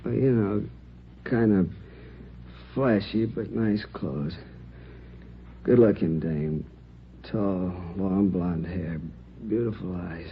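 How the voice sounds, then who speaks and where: Gravelly, deep-voiced wounded crime victim, male. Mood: weary, halting. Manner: halting, colloquial, slangy. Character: wounded married man, shot robbery victim, sheepish and plain-spoken. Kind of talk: 1950s radio drama acting. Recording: muffled, noisy old radio recording.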